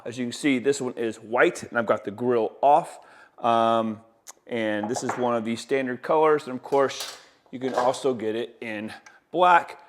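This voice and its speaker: A man with a mid-range voice walks viewers through a product in a casual demonstration. He talks like an installer who is keen on his gear, with enthusiastic, folksy patter and colloquial turns of phrase. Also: mid-range voice. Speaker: audio installer presenting products.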